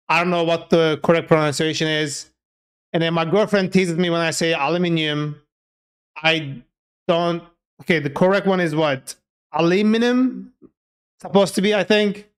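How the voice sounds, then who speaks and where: A man's voice: fairly high pitch, fairly bright tone, clean tone. A man with a Turkish accent, a native Turkish speaker, chats casually and informally on a livestream, thinking aloud as he codes. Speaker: live streamer and programmer. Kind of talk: casual livestream chat while coding.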